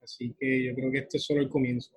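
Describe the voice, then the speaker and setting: Husky-voiced young man interviewing. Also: mid-range voice, flat delivery, quick pace. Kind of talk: casual chat interview.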